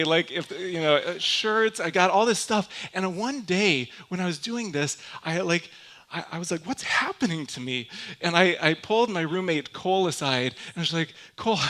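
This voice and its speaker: A man's voice: on the high side, normal speed, pushed and bright. A young man from Michigan with a Michigan accent, preaching a conversational sermon full of personal stories and asides to the congregation. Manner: chatty, self-deprecating, storytelling with asides to the congregation.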